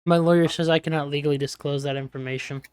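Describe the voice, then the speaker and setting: A man's voice: a little high, steady tone, fast, a little mellow, smooth, no echo. A young man acting as game master in a casual voice chat, joking and teasing his players and often trailing off mid-sentence. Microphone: online voice chat mic.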